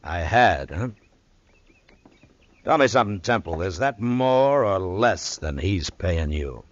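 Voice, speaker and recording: An actor playing a steady, laconic American marshal in a radio western, speaking in a terse, dry, firm way. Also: male voice, sits low, takes it slowly. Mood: firm warning. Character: laconic, steady male US marshal of the Old West. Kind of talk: 1950s radio western acting. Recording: dull vintage radio recording.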